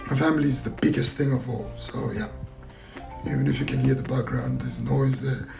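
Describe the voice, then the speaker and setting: A man answers interview questions in a deeply gravelly, creaky voice at an ordinary pitch for a man. He talks in a reflective way, feeling for his words and going back over them. Faint music plays underneath. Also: fairly even intonation, brisk, low-quality recording. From Zambia. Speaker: actor and filmmaker guest.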